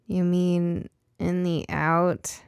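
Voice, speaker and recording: Woman as an earnest, whimsical young daughter, mellow. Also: fairly low pitch, little pitch movement, extremely slow, gravelly, clean tone, no room sound, clean studio sound, heavily acted. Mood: insecure. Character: curious, bookish, stubborn young daughter who loves science.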